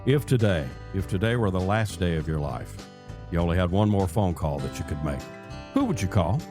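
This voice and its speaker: An American man hosting a conversational radio interview in a deliberate, emphatic way, repeating phrases for effect. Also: low-pitched, animated, music in the background. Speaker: radio show host.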